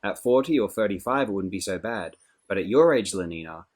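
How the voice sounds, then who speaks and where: A man's voice: on the low side, lively intonation, a little fast, breathy edge. A man reading a novel aloud, voicing a sensible young woman who scolds her friend in an insistent, gossiping manner. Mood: scolding.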